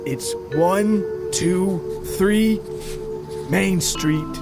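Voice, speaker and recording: A man voicing a dim, distracted suburban husband who talks hesitantly and slowly catches on, in a comic audio story. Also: high-pitched, creaky, loud music behind the voice, pitched above the performer's own voice, heavily acted. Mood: earnest. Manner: hesitant, slow-witted, rambling.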